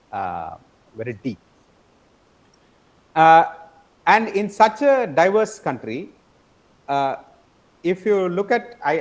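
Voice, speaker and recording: A man teaching an online class, explaining step by step, often pausing with "uh" and asking "right?" to check in with his students. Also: fairly high pitch. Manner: explanatory, frequent tag question "right?"; repeats words and hesitates with "uh". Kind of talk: online lecture.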